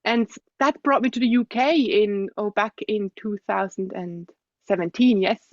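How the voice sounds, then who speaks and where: A young adult woman with a Swiss accent, a guest speaking thoughtfully in an interview, with soft laughs and hums of agreement. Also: medium pitch, moderate pace, no echo, muffled recording. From Switzerland. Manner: thoughtful, soft-spoken, frequent gentle laughs and hums of assent. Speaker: guest, osteopath. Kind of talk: reflective interview conversation.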